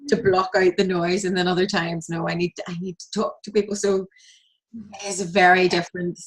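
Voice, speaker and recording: Low-voiced chatty woman host. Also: flat delivery, brisk, poor audio. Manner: chatty, with frequent restarts and laughs. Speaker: podcast host. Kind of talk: conversational interview.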